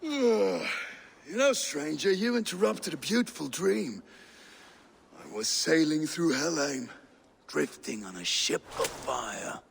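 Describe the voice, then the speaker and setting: Weary, gravelly, sardonic Danish steward. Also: male voice, fairly high pitch, animated, slow pace, a little hiss or hum, full character voice. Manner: world-weary, sardonic, drawling. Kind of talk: dramatic video game acting.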